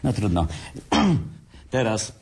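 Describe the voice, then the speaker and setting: Actor as a husky, lively-inflected cheeky drinker, radio drama. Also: male voice, on the low side, extremely slow, exaggerated voice. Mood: mischievous. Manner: teasing, playful, lively. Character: cheeky hard-drinking man, joking, scheming. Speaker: brother, Adam. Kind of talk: amateur radio drama acting.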